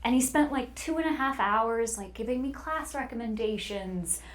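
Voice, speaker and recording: A woman speaks in a fairly high voice as a guest on a casual interview. She tells stories about herself playfully, leaning on key words for emphasis. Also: animated, normal speed. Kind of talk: casual chat, personal storytelling.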